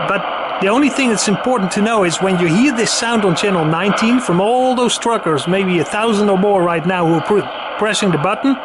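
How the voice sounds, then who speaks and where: High-voiced man over radio noise. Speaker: presenter demonstrating vintage radio gear. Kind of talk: casual hands-on product demonstration. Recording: constant radio static and hiss behind voice. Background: CB radio noise and chatter.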